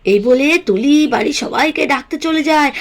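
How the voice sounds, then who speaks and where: A woman's voice: sits high, a little fast. A woman narrating a scripted children's doll story as storyteller.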